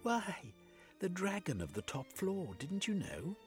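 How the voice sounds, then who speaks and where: A man's voice: sits high, huge pitch swings, measured pace, soft background music, pitched above the performer's own voice, exaggerated voice. A man voicing a doting, old-fashioned genteel lady who coos over her companion. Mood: soothing.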